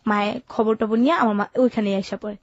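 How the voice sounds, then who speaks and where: Fast-talking young Bangladeshi woman, muffled dry sound. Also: medium pitch, fairly even intonation, no echo. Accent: colloquial Bangladeshi Bengali. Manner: matter-of-fact, unsentimental telling. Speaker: guest telling her life story. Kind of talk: informal first-person storytelling.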